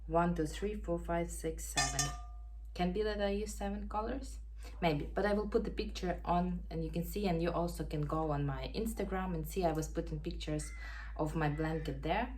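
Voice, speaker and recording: A woman with a Ukrainian accent hosting a knitting podcast from home, chatting casually and pausing to search for words in English. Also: fairly low pitch, darkish tone, faint music behind. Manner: unhurried, searching for words, non-native grammar. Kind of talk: casual show-and-tell chat. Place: home in Denmark. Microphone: camera.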